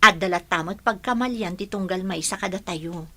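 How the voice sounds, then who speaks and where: A woman's voice: medium pitch, normal speed, pushed and bright. A woman playing a forthright, supportive village friend in an Ilocano radio drama, with an Ilocano accent. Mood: frank. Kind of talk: radio comedy-drama acting.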